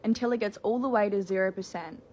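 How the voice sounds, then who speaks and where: A woman's voice: medium pitch, quick pace, mellow, a little hiss or hum. A woman giving emphatic dating advice to the camera, repeating her points for effect. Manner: emphatic, repetitive. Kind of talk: casual advice talk to camera.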